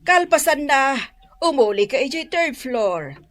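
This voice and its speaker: Woman playing a bossy, high, lively auntie. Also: a little slow, full character voice. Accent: Ilocano. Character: well-off older auntie giving out household chores. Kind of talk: Ilocano radio drama acting.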